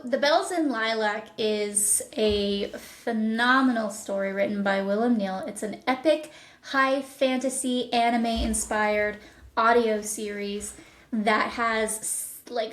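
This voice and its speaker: A woman speaking as an interview guest and producer, pitching a project conversationally with enthusiasm and thoughtful pauses. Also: fairly bright tone. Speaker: podcast guest, audio drama producer. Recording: echoey, roomy sound.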